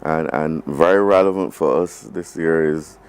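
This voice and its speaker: Male interview guest, Barbadian accent, very low pitch, halting delivery with emphatic repetition. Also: a little mellow, smooth. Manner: halting, repeats phrases emphatically. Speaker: government program advisor, interview guest. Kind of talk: live broadcast interview.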